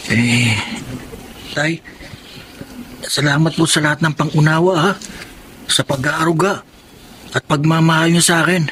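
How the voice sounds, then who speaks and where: An actor playing a humble young foster son in a radio drama, soft-spoken, respectful and earnest. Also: male voice, a little high, a little slow, pauses often, a little hiss or hum, low-quality recording.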